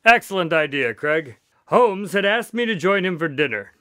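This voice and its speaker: A man's voice: high-pitched, animated, moderate pace, fairly bright tone, clear, resonant tone, no echo. Good-natured storytelling doctor male actor, radio play: formal, wry, swooping intonation, very dry close recording. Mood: genial storytelling. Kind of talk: radio drama acting and storytelling.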